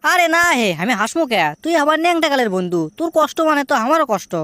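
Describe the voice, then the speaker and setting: A man acts out a brash young cartoon man with a high voice and a Bogura accent. He rattles along very fast, teasing and boasting, in a dry, close sound. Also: sing-song, sharp tone, no room sound, full character voice. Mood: teasing concern. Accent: Bogura, Bangladesh. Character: cheeky, boastful young man scolding his lovesick friend. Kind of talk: comic cartoon dialogue.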